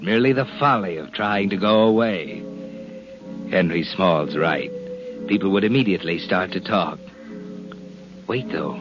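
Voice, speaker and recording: An actor playing a taunting, all-knowing narrator with a deliberate, insinuating delivery in 1940s radio mystery style. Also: male voice, on the low side, lightly acted. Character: sinister, taunting omniscient narrator, a man's needling conscience. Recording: muffled 1940s radio recording.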